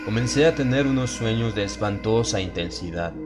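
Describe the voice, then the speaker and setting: Male narrator for horror audiobook: lowish register, ominous and theatrical, measured phrasing, clear atmospheric music underneath. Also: lively intonation. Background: atmospheric music bed.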